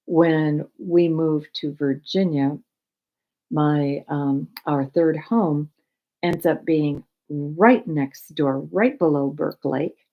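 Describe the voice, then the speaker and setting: Slow, low-voiced American woman teaching. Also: lo-fi sound. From United States, raised in Michigan. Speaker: spiritual teacher. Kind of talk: informal spiritual talk with personal anecdotes.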